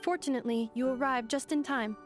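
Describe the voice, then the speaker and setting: A woman voicing a calm, logical android helper woman who speaks in clipped, formal, machine-like reports in a video game. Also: high voice, brisk, music in the background, natural voice. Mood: steady.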